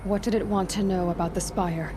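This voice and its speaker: A woman's voice: mid-range voice, fairly even intonation, fairly quick, lightly acted. An actress playing a determined, sceptical young female hunter in a video game, questioning in a guarded, pointed way. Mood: sceptical, demanding. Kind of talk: dramatic video game acting. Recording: noticeable background noise and ambience.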